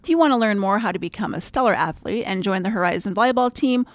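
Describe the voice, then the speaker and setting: A woman speaks as a podcast host in a conversational interview style. Her voice sits at a middle pitch, recorded dry with little room sound. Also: fast talker, no echo.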